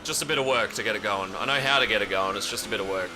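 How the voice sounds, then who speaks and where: A man's voice: fairly low pitch, rapid-fire. A young Australian man streaming a racing game, chatting loosely with viewers and swearing casually as he drives. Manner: rambling, chatty, swears casually, answers chat. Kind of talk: casual live-stream chat while racing. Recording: racing game audio behind voice. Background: game engine noise.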